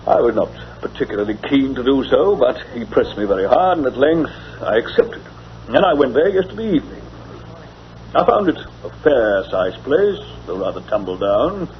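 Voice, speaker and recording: An actor playing a pompous, indignant English bachelor gentleman in old-time radio drama style, speaking formally. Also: mid-range voice, lively intonation, moderate pace, close to an everyday voice. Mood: aggrieved recounting. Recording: dull lo-fi old radio recording.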